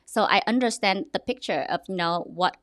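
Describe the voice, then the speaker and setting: A young woman with a Vietnamese accent chats as a podcast guest, animated and quick to restart her sentences. Her voice sits in a middle pitch and is recorded dry and close, with no room sound. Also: no room sound. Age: young adult. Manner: animated, restarts often, laughs. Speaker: real estate agent. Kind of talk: podcast interview.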